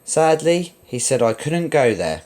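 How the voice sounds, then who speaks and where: A man's voice: mid-range voice, very animated, a little slow, darkish tone. A man voicing an eager, curious young male lion cub in a storybook read-along. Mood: hesitant.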